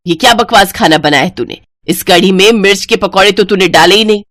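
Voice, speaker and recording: A woman's voice: medium pitch, fairly quick, a bit bright, no room sound, close to an everyday voice. Cruel, bossy mother-in-law for a moral story, played by a woman narrator, harsh and taunting, dry close recording. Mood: angry scolding.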